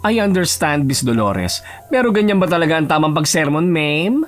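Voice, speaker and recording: A man's voice: fairly high pitch, animated, moderate pace, faint music behind, close to an everyday voice. A man playing a stern but composed male executive in a romance audio drama, formal and authoritative, mixing in English. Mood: questioning, cool. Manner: formal, authoritative, switches between Tagalog and English.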